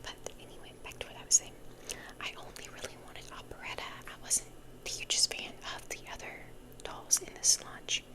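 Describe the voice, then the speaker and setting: A woman's voice: fairly quick, bright, forceful tone. A young woman hosting ASMR, rambling casually in a whisper and repeating words and phrases for effect. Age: young adult. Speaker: ASMR video host.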